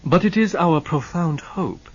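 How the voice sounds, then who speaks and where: Guy doing a calm smooth lawyer type, husky, muffled lo-fi audio. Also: a little high, pitched above the performer's own voice. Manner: measured, composed.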